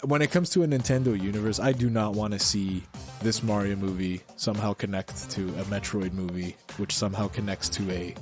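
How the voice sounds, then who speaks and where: A man's voice: on the low side, fairly even intonation, fairly quick, faint music behind. A man co-hosting a podcast in casual chat, blunt and opinionated, with frequent swearing. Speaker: podcast co-host. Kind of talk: casual pop culture chat.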